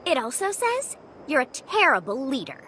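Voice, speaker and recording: A woman's voice: high-pitched, huge pitch swings, moderate pace, pushed and bright, slight background noise, exaggerated voice. A woman voicing a snarky, gossipy teenage creature in a video game, with an exaggerated American valley-girl drawl. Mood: mocking. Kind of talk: cartoonish video game character acting.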